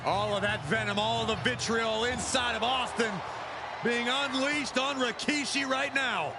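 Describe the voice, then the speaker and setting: A man's voice: very high voice, steady tone, a little slow. A man voicing a fired-up, partisan wrestling announcer in a video game, calling the action with dramatic emphasis. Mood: excited. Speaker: wrestling commentator. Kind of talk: excited sports commentary in a wrestling video game. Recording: loud crowd roar and game noise behind.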